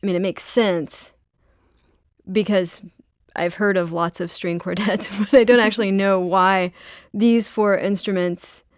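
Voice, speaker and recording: A woman's voice: medium pitch, steady tone, measured pace, no echo. A woman hosting a radio interview in a studio, asking curious, upbeat questions. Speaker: radio show host.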